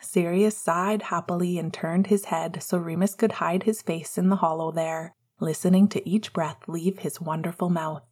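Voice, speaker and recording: A woman reading romantic fiction as an amateur narrator, in a soft, intimate, breathy way. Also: on the low side, fairly even intonation, moderate pace, few pauses. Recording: faint music behind voice. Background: soft music.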